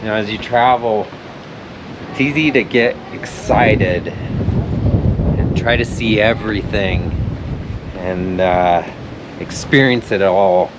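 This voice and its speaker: A man's voice: on the low side, takes it slowly, pauses often. A man hosting a travel vlog, talking casually and reflectively to camera. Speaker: travel vlog host, nonprofit representative. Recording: clear background ambient noise.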